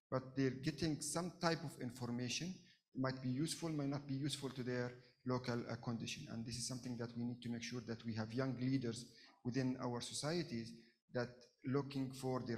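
A man from Jordan making an earnest, halting comment from the floor at a conference, with many pauses and fillers. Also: medium pitch. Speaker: audience member asking a question. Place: conference hall.

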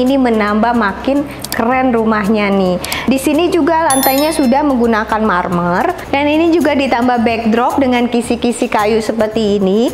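A woman's voice: a little high, darkish tone. A woman hosting a house tour, walking viewers through the rooms in an upbeat, chatty way. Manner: upbeat, addresses viewers as friends. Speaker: property tour host. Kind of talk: walkthrough house review. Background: background music.

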